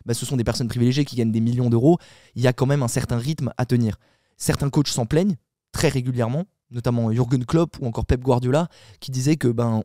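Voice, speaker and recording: A man talks quickly in a dark, mellow voice, recorded close and dry with no room sound. He is a podcast panelist telling a story in an animated way, restarting sentences and filling pauses as he goes. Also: mid-range voice, no echo. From Italian heritage. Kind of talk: casual podcast chat.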